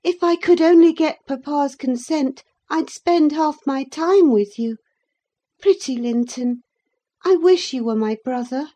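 A woman voicing a spirited, outspoken young lady in an audiobook, pert, emphatic and quick to anger. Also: high voice, mellow, voice pushed up. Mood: earnest, affectionate. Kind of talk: dramatised audiobook reading of a classic novel.

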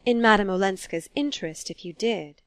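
A woman's voice: mid-range voice, expressive, brisk, no room sound, close to an everyday voice. A woman reading a storybook as a proper, disapproving older society mother, genteel and insistent. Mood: coaxing.

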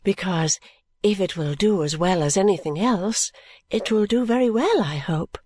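A woman's voice: mid-range voice, lively intonation, moderate pace, natural voice. Woman voicing a trusting, affectionate young woman. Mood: confiding. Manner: gentle, eager, confiding. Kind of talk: audiobook character dialogue.

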